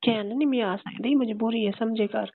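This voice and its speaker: A woman's voice: on the high side, normal speed. A woman with a Saraiki accent chatting casually and intimately as a caller on a recorded phone call. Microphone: telephone line.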